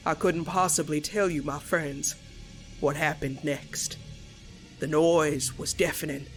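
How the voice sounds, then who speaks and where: An actor plays a frightened clawed monster telling a horror story to friends. The delivery is slow and theatrical, with stammers in a mid-pitched voice. Quiet music runs underneath. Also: fairly even intonation, natural voice. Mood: haunted storytelling. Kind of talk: dramatic comic-dub storytelling and character acting.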